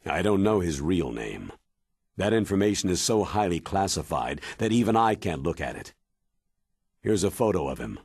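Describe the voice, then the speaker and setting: An actor playing a grave, authoritative older military commander in a video game, speaking in a deliberate, dramatic and emphatic way with a husky voice. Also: male voice, low-pitched, sing-song, a little mellow, dry recording, clean studio sound, heavily acted. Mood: serious, confiding. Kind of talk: video game cutscene acting.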